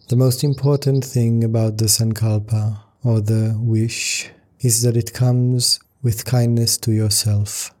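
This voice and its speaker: Male meditation guide: very unhurried, even-toned, gentle and calm, measured phrases with room to breathe. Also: medium pitch. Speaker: yoga nidra meditation teacher.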